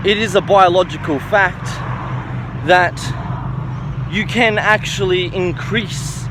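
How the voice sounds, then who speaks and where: A man's voice: sits high, noisy background. A man around thirty giving an informal opinion monologue, emphatic and self-assured, repeating his points and often checking for agreement. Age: 29, turning thirty. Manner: emphatic, self-assured, repetitive, seeking agreement with "okay" and "right". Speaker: self-styled health and philosophy educator.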